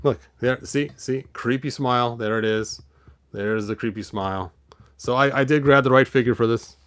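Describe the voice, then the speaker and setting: A man's voice: medium pitch, moderate pace, fairly bright tone, dry recording. Playful man reading a storybook aloud, dry sound. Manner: playful, rambling, tosses in sound effects and wry remarks. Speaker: host of a toy and storybook show. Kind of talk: read-aloud story with casual asides.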